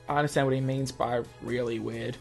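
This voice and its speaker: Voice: medium pitch, steady tone, a little slow, gravelly. Gamer thinking aloud over music. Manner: easily amused, thinks aloud. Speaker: gamer, channel host. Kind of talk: visual novel playthrough, reading aloud with casual commentary. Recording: music under the voice.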